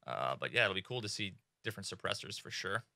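A young man in casual gaming commentary from a small home room, excitable and swearing freely, with many fillers. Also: low-pitched, quick pace, creaky, no echo. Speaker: gaming streamer.

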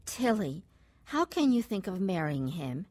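An actress playing a worried, disapproving sister in a radio drama, frank and insistent. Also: female voice, lowish voice, big pitch swings, unhurried, darkish tone.

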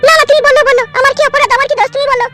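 A woman's voice: very high voice, steady tone, racing, loud music behind the voice. A woman voicing an aggrieved, plaintive village wife in a comedy cartoon. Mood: hurt, nagging. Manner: plaintive, nagging.

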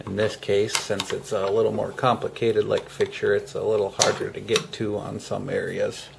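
A man giving a casual hands-on how-to, speaking haltingly in short phrases with pauses mid-sentence, as host of a DIY tutorial. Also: on the low side, level tone, vocal fry, very noisy.

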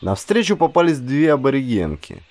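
Guy narrating an audiobook, expressive storyteller, really lively intonation, mid pitch. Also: moderate pace. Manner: expressive, acts out dialogue. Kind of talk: dramatized scripted storytelling narration.

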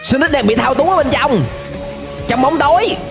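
A young man giving excited, exclamatory reaction commentary as a video host, dragging out words for drama, close to the microphone. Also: very high-pitched, normal speed, gravelly. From Vietnam. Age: young adult. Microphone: close desk microphone. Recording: music behind the voice.

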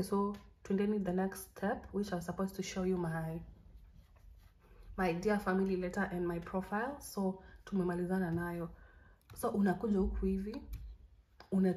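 A woman's voice: lowish voice, mellow, low-quality recording. A young Kenyan woman in her twenties talking through a casual tutorial, mixing Swahili and English in a chatty, encouraging way. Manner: chatty, encouraging, switches between languages. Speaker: vlogger.

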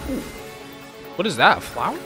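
A young man reacts casually in slangy bursts, his pitch jumping around with lively swings. He speaks close to the mic in a home room, with music playing behind him. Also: moderate pace. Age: young adult. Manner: informal, slangy, exclamatory. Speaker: reaction video host. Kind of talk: casual excited reaction commentary. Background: game trailer music.

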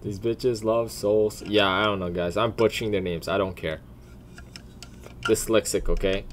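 A man giving casual off-the-cuff commentary in a crude, profane, blunt way, often deliberating out loud. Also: fairly low pitch, fairly even intonation, normal speed, a little hiss or hum. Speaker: video creator.

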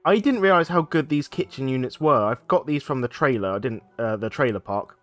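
A man narrating his own gameplay in a relaxed, rambling way. His nose is a little blocked from a cold, which gives his voice a stuffed-up sound. He talks into a desk microphone, mid-pitched and conversational. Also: fairly quick, no room sound. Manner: chatty, thinks aloud while playing. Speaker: let's play gaming host. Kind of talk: casual gameplay commentary.

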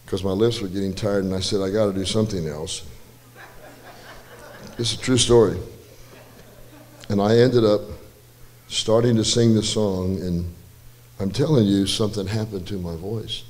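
An older man, a guest musician, telling a relaxed, rambling story with self-deprecating asides at a church, his voice slightly hoarse, on a handheld mic. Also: on the low side, normal speed, pauses often. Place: church stage, large indoor room. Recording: reverberant church hall with faint music.

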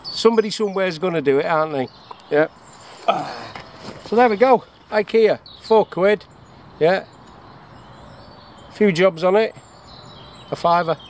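Middle-aged English bushcraft vlogger, a touch high-pitched, banters with himself on an outdoor camera mic. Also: male voice, unhurried, pauses often, darkish tone. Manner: jokey, chatty, asks himself questions and answers. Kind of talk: casual outdoor demonstration. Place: woodland camp outdoors. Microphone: action camera on chest or tripod. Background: birdsong and faint outdoor noise.

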